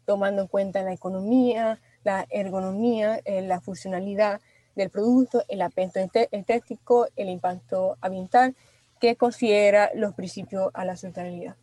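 A young Venezuelan woman answering radio interview questions as an industrial designer, pausing often with fillers and restarts. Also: medium pitch, steady tone, mellow, no room sound, poor audio. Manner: hesitant, many fillers and restarts. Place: radio studio.

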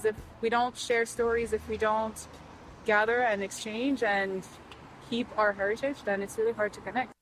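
A woman's voice: fairly high pitch, fairly even intonation, moderate pace, slight background noise, poor audio. A woman from Burundi speaking earnestly and reflectively as an interviewee in a documentary clip.

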